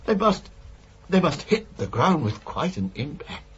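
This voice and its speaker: A man's voice: fairly high pitch, big pitch swings, unhurried, muffled recording, a little hiss or hum. An actor playing a timid, fussy British man in a radio drama, hesitant, stammering and anxiously polite. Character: timid, nervous, fussy man with a guilty past.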